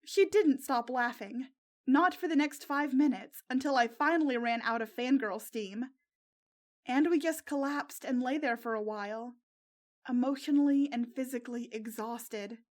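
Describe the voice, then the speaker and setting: Woman w high voice reading a fanfic out loud, expressive storyteller. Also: moderate pace. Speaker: narrator of fan fiction. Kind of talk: expressive first-person story narration.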